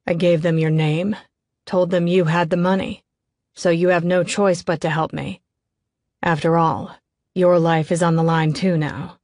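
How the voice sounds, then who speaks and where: A menacing criminal man from an audiobook thriller , acted by a woman narrator. He is desperate, contemptuous and goading. The voice is lowish and closely dry. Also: little pitch movement, measured pace, darkish tone, no echo. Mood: menacing, spiteful.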